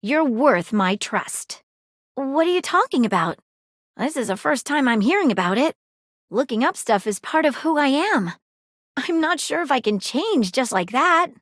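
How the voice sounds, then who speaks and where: A flirty, nosy magnifying-glass sleuth with a lilt. Her voice sits fairly high and leaps around with drama and play. It sounds close and dry, with no room around it. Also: sing-song, normal speed, fairly bright tone, no room sound, exaggerated voice. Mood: earnest. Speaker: dateable game character. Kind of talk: video game voice lines. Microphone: close studio mic.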